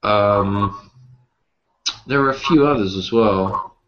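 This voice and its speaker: A man chatting casually as an interview guest about what he likes, halting and repeating words as he goes. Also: fairly low pitch, a bit bright, lo-fi sound. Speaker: interview guest, horror writer and publisher. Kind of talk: casual conversation about horror films.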